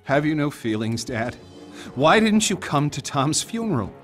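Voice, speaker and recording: Exasperated, bright-voiced grown son. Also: male voice, a little high, sing-song, normal speed, faint music behind. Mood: accusing. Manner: pleading, accusing. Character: aggrieved, exasperated grown son of a cold patriarch. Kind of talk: video game cutscene acting.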